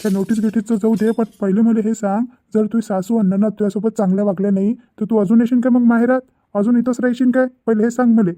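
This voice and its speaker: A man's voice: high voice, flat delivery, fast talker, mellow, no echo, lightly acted. A man voicing a stern, exasperated middle-aged father in a cartoon family drama, insistent and repetitive, with a Vidarbha Marathi accent. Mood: stern, questioning.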